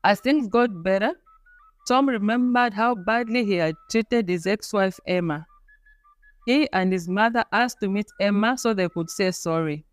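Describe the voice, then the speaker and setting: A woman's voice: mid-range voice, unhurried, no room sound. Woman narrating a folktale over music. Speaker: storyteller.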